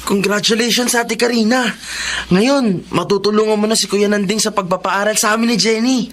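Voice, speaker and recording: A man's voice: sits high, fairly even intonation, a little fast, fairly bright tone, slight background noise. A man playing an earnest, dutiful teenage younger brother in a radio drama, with a solicitous manner. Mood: happy. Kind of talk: Filipino radio drama acting.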